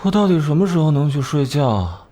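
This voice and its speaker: Aloof expert with animated inflection. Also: male voice, fairly high pitch, fairly quick. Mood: sleepy, grumbling. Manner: cool, sardonic, articulate. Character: aloof, sharp-tongued male genius forensic consultant. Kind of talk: Chinese animated crime drama acting.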